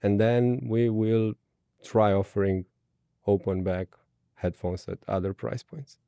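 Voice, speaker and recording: Low, mellow man with a Romanian accent. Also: steady tone, creaky, no room sound, studio quality. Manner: brief, measured technical answers. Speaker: guest. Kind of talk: product interview answers.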